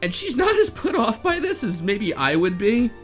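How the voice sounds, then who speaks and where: A man's voice: high voice, huge pitch swings, normal speed. A man hosting a casual book club chat, talking in a rambling, blunt and slangy conversational way. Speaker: podcast host. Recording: music behind the voice. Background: background music.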